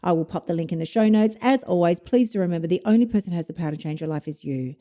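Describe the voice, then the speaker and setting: A woman's voice: on the low side, animated, rapid-fire, mellow. A woman in her fifties hosting a solo podcast, talking bluntly, swearing freely and laughing at herself as she coaches listeners. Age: 50s. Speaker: podcast host, motivation coach.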